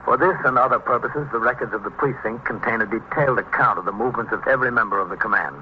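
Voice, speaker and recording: A man plays an American precinct captain in a vintage radio police drama. He is steady and in command, with a measured, official delivery. The recording is muffled, with a little background noise. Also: medium pitch, natural voice. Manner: matter-of-fact, authoritative, measured. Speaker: narrator and lead character. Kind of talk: old-time radio police drama acting.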